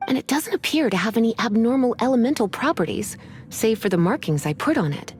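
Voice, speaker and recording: A woman voicing a sultry, teasing librarian who speaks in a languid, gently threatening way, in a video game with music behind. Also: on the high side, animated, brisk, natural voice.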